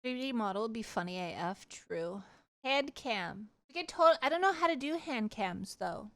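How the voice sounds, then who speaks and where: A woman chatting casually on a livestream, telling stories in an animated, rambling, giggly way. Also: on the high side, normal speed. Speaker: streamer. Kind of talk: casual livestream chat and storytelling.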